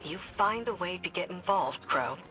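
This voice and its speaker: A woman's voice: on the low side, animated, normal speed, pushed and bright. An actress playing a wary, needling female soldier in a video game, dry and sarcastic. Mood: sardonic, irritated. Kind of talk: scripted video game dialogue.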